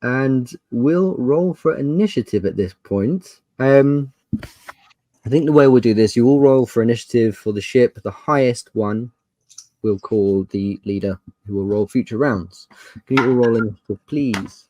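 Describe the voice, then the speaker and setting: A man's voice: mid-range voice, moderate pace, dark tone, dry recording. A man running a tabletop fantasy game as game master, improvising narration and giving players instructions. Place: dry room on an online video call.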